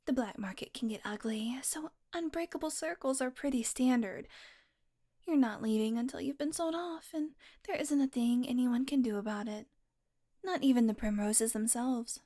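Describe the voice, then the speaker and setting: A woman playing a smug, predatory female vampire in an intimate audio roleplay, taunting with a drawl that dips into breathy murmurs. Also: fairly high pitch, darkish tone, natural voice. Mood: cool, menacing.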